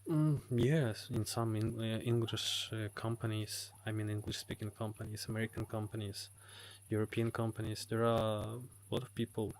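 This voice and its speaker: Ukrainian-accented man, halting English. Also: lowish voice, darkish tone. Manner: hesitant, halting English with many fillers. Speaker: livestream host. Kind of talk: live stream reading chat comments. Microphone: phone.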